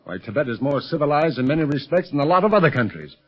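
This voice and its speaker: A man playing a stern, sardonic American secret police leader with a husky voice in a 1930s radio serial. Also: on the high side, big pitch swings, fast. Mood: exasperated. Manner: exasperated, authoritative, sardonic. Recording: muffled 1930s radio recording.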